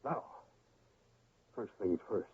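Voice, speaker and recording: A man playing a grieving, stern old father in a radio drama, with a rural American accent and husky, folksy, deliberate speech. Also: slight background noise, natural voice. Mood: bitter, resolute. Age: older. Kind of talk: 1970s radio drama acting. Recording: muffled, phone-like old radio recording.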